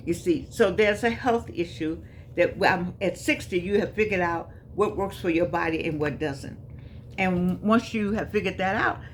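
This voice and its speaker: A woman's voice: lowish voice, a little hiss or hum. A woman in her eighties giving a casual motivational talk to her viewers, folksy and emphatic, often repeating herself. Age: 80s. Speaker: vlogger.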